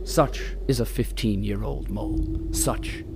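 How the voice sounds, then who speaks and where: An actor narrating an audio drama as a wry, grandiose, mock-solemn storyteller with deliberate phrasing. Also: male voice, lowish voice, noisy background. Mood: atmospheric.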